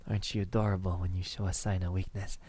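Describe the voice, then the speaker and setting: A man playing a lonely, centuries-old vampire suitor in an ASMR roleplay, speaking softly and haltingly with tender words that slip into whispers. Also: low voice, breathy edge. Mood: adoring, pleading. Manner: soft-spoken, halting, tender, slipping into whispers.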